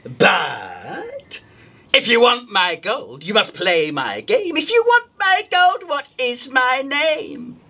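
A scheming storybook witch who stretches each word into a slow, sly taunt. The voice is husky and breathy, put on by the storyteller. She can snap into sudden shouts. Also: mid-range voice, wildly swooping pitch, pitched above the performer's own voice, heavily acted. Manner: slow, drawn-out, sinister taunting.

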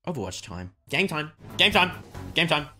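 An excitable video game streamer chatting exclamatorily on a livestream. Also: medium pitch, normal speed, music in the background.

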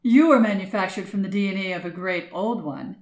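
A woman's voice: mid-range voice, brisk, natural voice. A woman playing a sinister, gloating monster cult leader, menacing and scornful, in a fan-made audio drama. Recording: echoey, with loud music behind.

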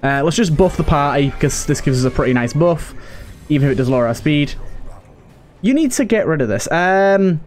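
A man's voice: fairly high pitch, expressive, fairly quick, darkish tone. A man giving casual let's play commentary, muttering to himself as he thinks through his moves. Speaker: gaming video host. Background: game music.

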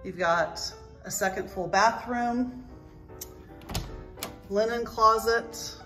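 A woman's voice: fairly high pitch, takes it slowly, bright, forceful tone, faint music behind. A middle-aged woman, a real estate agent, narrating a house walk-through on a handheld phone inside an empty home. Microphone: handheld phone behind the camera.

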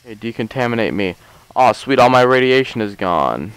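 A man casually commenting on his gameplay, rambling and joking as he thinks aloud, a little hoarse from a long session. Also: medium pitch, animated, fairly quick, fairly bright tone, no echo, poor audio. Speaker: let's play host.

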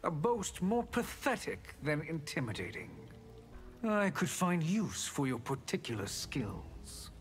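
An actor playing a haughty, scheming sorcerer in a video game, theatrical and condescending. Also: male voice, a little high, big pitch swings, measured pace, faint music behind. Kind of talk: video game villain dialogue. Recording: long hall-like reverb.

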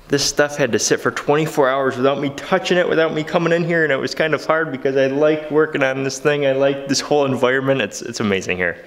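A young man in his twenties vlogging from his garage workshop, upbeat and jokey, with a motivational streak. He talks into a handheld camera held close. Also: mid-range voice. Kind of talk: energetic workshop vlog. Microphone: handheld camera, close and moving.

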